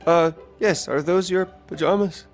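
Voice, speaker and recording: A man's voice: high voice, measured pace. A man voicing an anxious, stressed young man who rambles nervously and swears, in a video game cutscene with music behind. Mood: awkward.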